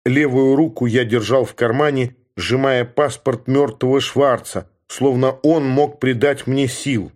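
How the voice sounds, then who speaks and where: A man reads a novel aloud in the first person, steady and measured. His voice sits at an ordinary middle pitch for a man. The delivery is calm, careful audiobook narration. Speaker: audiobook reader.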